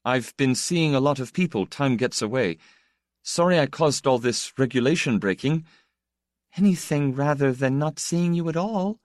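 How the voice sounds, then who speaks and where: A man's voice: medium pitch, lively intonation, moderate pace, dry recording, clean studio sound, voice pushed up, close to an everyday voice. A man voicing a proud, awkward young man in an audiobook, stiff and bitterly resigned. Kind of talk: audiobook character dialogue.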